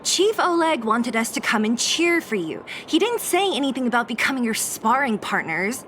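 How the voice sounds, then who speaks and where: A woman's voice: a little high, big pitch swings, normal speed, fairly bright tone, slight background noise. An actress voicing a blunt young female resistance fighter in a video game, with a wry, exasperated manner. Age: young adult.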